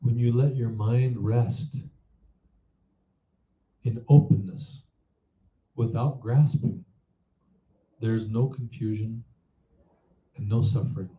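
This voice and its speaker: Very slow-spoken man guiding meditation, roomy echo. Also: mid-range voice, steady tone. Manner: measured, instructive, posing reflective questions. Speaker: meditation teacher. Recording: roomy echo, dull muffled sound.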